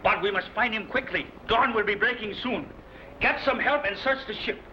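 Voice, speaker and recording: A man's voice: high voice, moderate pace, sharp tone. A man playing a grim, terse mutinous sailor and henchman, conspiratorial, in an old-time radio adventure. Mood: urgent. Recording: dull, noisy old radio recording.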